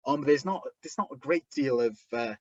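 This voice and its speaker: A man with a thick Welsh accent, a wrestler chatting as an interview guest, talking haltingly with lots of restarts and fillers. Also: medium pitch, lively intonation, a little mellow, no echo, highs cut off. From Wales. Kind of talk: casual interview chat.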